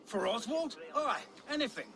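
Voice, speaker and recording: An actor playing an eager, loyal Saxon commoner in a video game cutscene. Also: male voice, very high-pitched, very animated, normal speed, gravelly, heavily acted.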